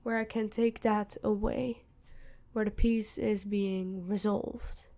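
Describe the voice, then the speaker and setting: A woman playing an ancient, motherly vampire queen in an ASMR roleplay, speaking formally and tenderly in measured phrases with pauses. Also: medium pitch, flat delivery, dark tone. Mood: earnest, confiding. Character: centuries-old vampire queen, motherly, regal, protective and worried.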